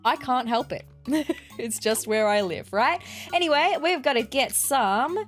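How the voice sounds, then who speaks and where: An Australian woman with a fairly high, nasal voice talks her way through a building game in a bubbly, chatty way. She thinks aloud, counts pieces and reacts as she goes. Light game music sits quietly behind her. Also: lively intonation, measured pace. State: nasal from seasonal allergies. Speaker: let's play gaming host. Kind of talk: casual gameplay commentary.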